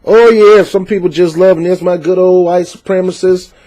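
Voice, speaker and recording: Man, fairly high voice, fired-up political commentary, reads an article and reacts. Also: fairly even intonation, normal speed, mellow, rough recording. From United States. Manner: passionate, preachy, rising emphasis with many fillers.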